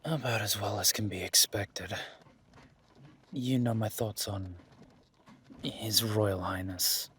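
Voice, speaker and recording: A man playing a weary, guarded werewolf duke in a fantasy audio drama, speaking in a measured, dry way with a husky voice. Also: fairly low pitch, fairly even intonation, a bit bright, slight background noise. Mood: weary, irritated.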